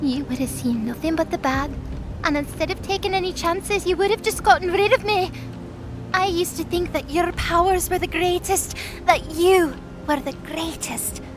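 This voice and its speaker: A woman acts as a young winged girl in an audio drama, with a very high voice. She is earnest and stubborn, arguing with naive passion. Music plays clearly behind her. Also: normal speed. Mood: tearful, resolute. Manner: impassioned, naive, argumentative. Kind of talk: audio drama character acting.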